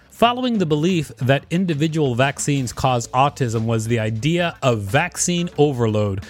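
A man hosting and reading an encyclopedia article aloud in a scripted narration. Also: medium pitch.

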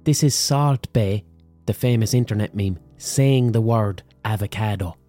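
A man's voice: lowish voice, a little slow, mellow, soft background music. A middle-aged man with a Limerick Irish accent, hosting a podcast and telling a comic story with lots of swearing and mock accents. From Ireland. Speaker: podcast host. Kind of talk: comic monologue.

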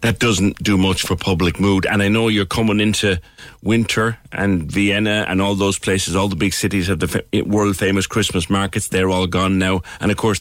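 An Irish man with a low-pitched voice presents a current affairs phone-in. The sound is dry, with no room around it. He chats and probes, doubling back on phrases to stress a point. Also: dry recording. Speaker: radio phone-in host.

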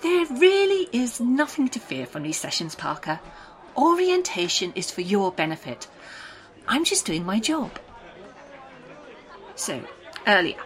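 An actress playing a condescending woman official in an audio drama, speaking in a measured, falsely soothing, patronising way. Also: medium pitch, fairly bright tone. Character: coolly condescending official woman running orientation interviews.

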